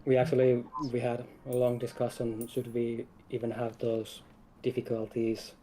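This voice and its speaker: A man's voice: medium pitch, completely flat delivery, normal speed, warm tone, faint music behind, rough recording. A man speaking casually and a little hesitantly as a game developer guest in an interview. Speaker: game developer, lead designer, stream guest.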